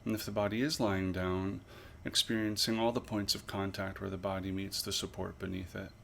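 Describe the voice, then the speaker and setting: A man leading a guided body scan meditation as an instructor, with measured, pausing, gently instructive phrasing. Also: deep voice, flat delivery, gravelly, a little hiss or hum.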